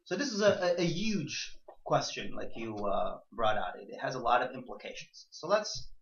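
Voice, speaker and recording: Male teacher, very animated delivery, rhetorical questions, restated phrases, dull roomy recording. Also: mid-range voice, moderate pace, gravelly. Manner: rhetorical questions, repeats and rephrases himself. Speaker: teacher leading a class. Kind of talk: interactive lecture to a small class. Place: indoor room with a few students. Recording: echoey room, dull muffled sound.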